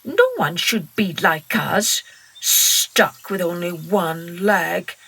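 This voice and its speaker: A woman voicing an aggrieved male duck who speaks loudly and emphatically. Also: lowish voice, slow pace, creaky, husky, full character voice. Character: indignant male duck, middle brother.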